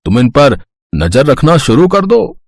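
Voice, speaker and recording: A man's voice: medium pitch, sing-song, unhurried, no echo, clean studio sound. A man playing a grumpy, greedy merchant husband in a moral story, with a scheming, suspicious manner. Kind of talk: moral story villain acting.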